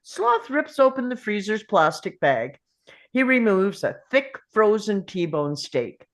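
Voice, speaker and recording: Female stage-direction reader, medium pitch, unhurried scripted narration. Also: very animated. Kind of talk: scripted narration at a table read.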